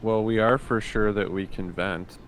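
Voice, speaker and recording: A man's voice: lowish voice, fairly even intonation, normal speed, soft background music, low-quality recording. A man chatting casually as a player in a tabletop roleplaying game.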